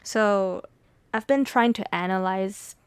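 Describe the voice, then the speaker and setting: A woman's voice: mid-range voice, takes it slowly, clear, resonant tone, no echo. A young adult woman whose first language is Mandarin, speaking as a podcast host in a reflective, confiding, conversational monologue.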